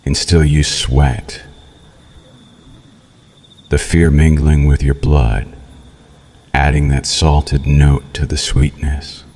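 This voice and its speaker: A sadistic male vampire, acted by a man with a profoundly deep voice. He speaks slowly and deliberately, in formal, theatrical phrases. His manner is taunting and seductive, a menacing purr made for ASMR roleplay. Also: little pitch movement, a little mellow, full character voice. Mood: intoxicated, hungry.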